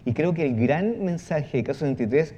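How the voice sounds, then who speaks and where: A man with a dark, mellow voice talks off the cuff as a panel guest. He moves haltingly, doubling back on words, with faint ambient noise behind him. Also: fairly high pitch, expressive. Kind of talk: spontaneous panel talk. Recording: clear background ambient noise.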